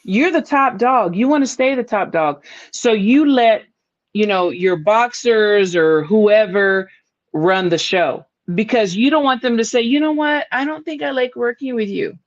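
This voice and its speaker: A woman's voice: a little high, a little slow. A woman hosting a casual boxing talk show, blunt and emphatic, who swears freely and often laughs. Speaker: boxing podcast host. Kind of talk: casual panel chat. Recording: dull lo-fi sound.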